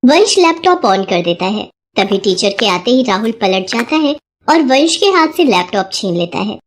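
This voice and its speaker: A woman narrating a children's moral story in an even storybook manner. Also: mid-range voice, moderate pace, slight background noise.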